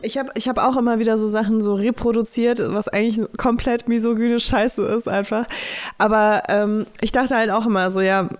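A woman's voice: a little high, fairly even intonation, normal speed. A woman in her late twenties co-hosting a podcast, talking candidly in a rambling casual way with many fillers. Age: late 20s. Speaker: podcast co-host.

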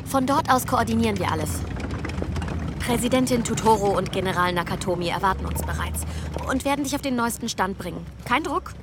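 A woman's voice: mid-range voice, lively intonation, brisk, music in the background, natural voice. An actress playing an urgent, businesslike woman at a crisis command in a comedic sci-fi audio drama. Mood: curt, grave.

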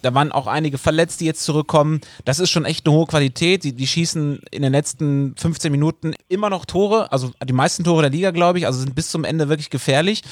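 A man recapping a match in a casual football talk, quick and rambling. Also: a little high, dry recording. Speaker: football pundit.

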